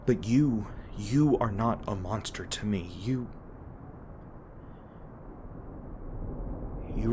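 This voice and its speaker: A man playing a haunted monster hunter in an intimate audio roleplay, guarded but remorseful, confessing his painful past in a halting, hesitant way. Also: fairly low pitch, background noise, lightly acted. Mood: distressed, apologetic.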